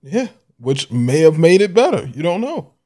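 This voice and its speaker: Casting: male podcast co-host, higher voice, blunt and profane. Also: sing-song, normal speed, mellow. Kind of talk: casual podcast chat.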